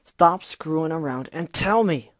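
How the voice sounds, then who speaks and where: A man voicing an angry young female griffin who shouts and accuses, loud and blunt in every line. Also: medium pitch, expressive, moderate pace, dry recording, studio quality. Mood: furious.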